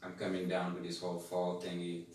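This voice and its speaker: Man, low pitch, from Senegal, casual guest chat, strong room echo, distant mic. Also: monotone, fairly quick, darkish tone, slight background noise. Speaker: talk show guest, recruiter. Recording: very echoey room, distant microphone.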